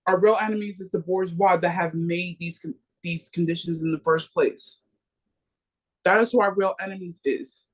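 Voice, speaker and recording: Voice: mid-range voice, fairly even intonation, normal speed, low-quality recording. Earnest, rallying political book club speaker. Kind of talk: political discussion.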